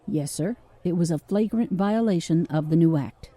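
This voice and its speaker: An actor in an audio drama playing an officious, humorless police officer who speaks with formal, bureaucratic politeness. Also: male voice, fairly high pitch, normal speed, warm tone, lightly acted.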